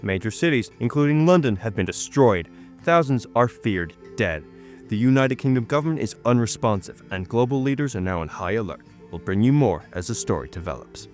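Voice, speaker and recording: A man's voice: medium pitch, expressive, brisk, darkish tone, faint music behind, natural voice. A young man playing a grave, urgent news announcer, reading a formal and emphatic radio bulletin. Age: young adult.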